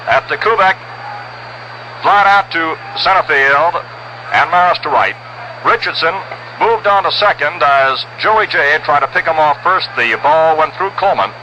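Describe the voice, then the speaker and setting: An American man calling baseball play-by-play in a measured, descriptive 1960s radio style, heard on an old broadcast recording. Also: on the high side, pushed and bright, highs cut off, a little hiss or hum. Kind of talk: 1960s radio sports commentary.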